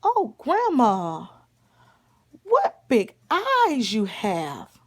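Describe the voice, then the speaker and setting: A woman's voice: on the high side, huge pitch swings, extremely slow, voice pushed up, exaggerated voice. A woman playing an innocent young girl in a storybook tale, earnest and full of wonder.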